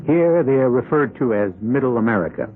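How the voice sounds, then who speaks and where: A man hosting a radio mystery drama, narrating in a measured, theatrical way with deliberate pauses. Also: medium pitch, animated. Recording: tinny vintage radio broadcast, music behind. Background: dramatic music.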